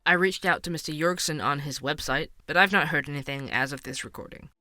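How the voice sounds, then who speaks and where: A man's voice: a little high, quick pace, bright, forceful tone. An actor playing a wry, curious male podcast host, narrating an investigative story in a conversational way. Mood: wry, engaged. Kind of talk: scripted narration, fiction podcast. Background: music.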